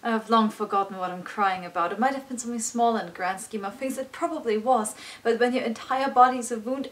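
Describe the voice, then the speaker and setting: A woman performing her own poem as a dramatic, earnest spoken-word recital, with expressive delivery. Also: on the high side. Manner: expressive, earnest, swinging between hushed and intense delivery.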